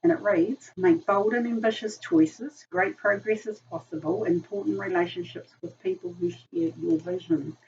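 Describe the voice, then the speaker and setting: A woman with a New Zealand accent, hoarse from a virus, chatting casually through a tarot reading with many ums. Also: on the low side, steady tone, normal speed, few pauses, a little mellow. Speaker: tarot reader. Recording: distant mic, dull sound, faint noise.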